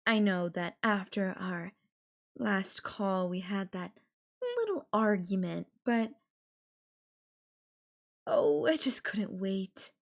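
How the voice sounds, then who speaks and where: Woman acting as obsessive yandere girlfriend, unhurried pace, mid-pitched, breathy cooing, sweet yet menacing, intimate audio roleplay. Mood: sweet, clingy. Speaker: voice actor.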